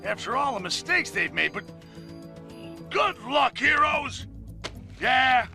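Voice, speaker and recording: An actor playing an excitable, cranky comic announcer in a video game, blustery and grudging. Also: male voice, very high voice, takes it slowly, creaky, full character voice. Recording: music behind the voice.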